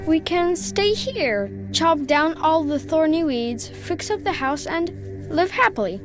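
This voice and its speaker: Fairy-tale game part: hopeful little kid, very high voice over music. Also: female voice, animated, measured pace. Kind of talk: video game character acting.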